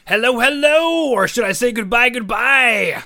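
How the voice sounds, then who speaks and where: A man's voice: extremely high pitch, lively intonation, moderate pace, pushed and bright, no echo. A man delivering a scripted podcast outro as its host, in an upbeat announcer style.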